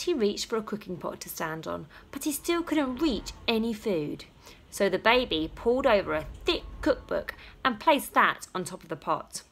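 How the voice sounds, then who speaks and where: A woman reading a children's story aloud, with dramatic pauses and drawn-out emphasis. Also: medium pitch, vocal fry, fairly bright tone. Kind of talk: animated children's story narration.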